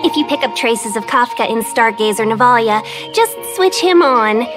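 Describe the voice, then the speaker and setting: An actress playing a poised, playful young businesswoman and guide in a video game, gracious and teasing, with polished, unhurried delivery. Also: fairly high pitch, expressive, over music, close to an everyday voice. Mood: pleased, teasing.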